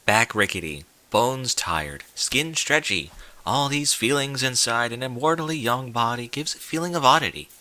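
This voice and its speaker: A man's voice: medium pitch, bright, forceful tone, no echo. A man reading a scripted story aloud as a dramatic narrator, with an emphatic, theatrical delivery. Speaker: fan fiction narrator.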